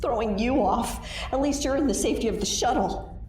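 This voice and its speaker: A woman playing a wary, sharp-tongued space investigator in an audio drama, with a skeptical, plain-spoken manner. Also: fairly high pitch, brisk, background noise, lightly acted. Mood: wry, skeptical.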